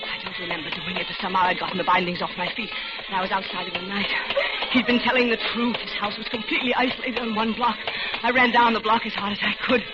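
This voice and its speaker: A woman's voice: mid-range voice, steady tone, fast, natural voice. An actress playing a terrified captive woman scheming to escape, breathless and urgent in a 1940s radio thriller, both narrating and pleading. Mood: tense dread. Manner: breathless, urgent dramatic delivery. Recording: muffled old radio recording, loud dramatic music.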